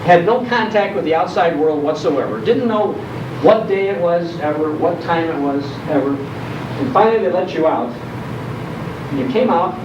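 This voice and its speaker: An older man giving a nostalgic talk to a live audience, telling it in an animated way and repeating phrases for emphasis. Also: a little high, moderate pace. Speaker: speaker on old-time radio history. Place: indoor program venue, recorded from afar. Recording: dull, distant, echoey hall recording, loud noise.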